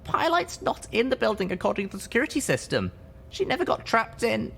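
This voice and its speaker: A man's voice: very high-pitched, huge pitch swings, quick pace, gravelly, a bit bright, a little hiss or hum, pitched above the performer's own voice, heavily acted. A man voicing a young female pony, an earnest and anxious adventurer in a fantasy story reading. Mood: tentative, worried.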